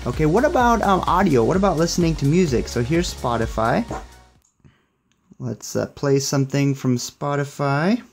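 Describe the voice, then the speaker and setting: A man's voice: a little high, expressive, normal speed. Casual upbeat man demoing tech over music. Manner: upbeat, approving. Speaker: tech reviewer. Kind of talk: casual hands-on demo.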